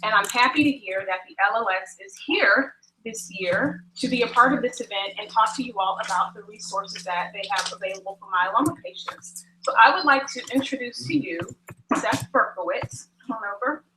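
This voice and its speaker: A woman's voice: fairly high pitch, brisk. A woman giving an earnest, heartfelt talk to an audience while leaning in to a stand microphone. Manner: earnest, heartfelt, speaks softer in sad passages. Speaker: volunteer introducing a speaker. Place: event room with audience. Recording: echoey room with clear noise.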